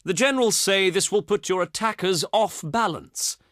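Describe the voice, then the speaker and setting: An actor playing a haughty, scornful ancient Greek diplomat in a video game, with a pompous, sarcastic, declamatory delivery. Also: male voice, high voice, moderate pace, fairly bright tone, no echo, clean studio sound. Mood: dismissive.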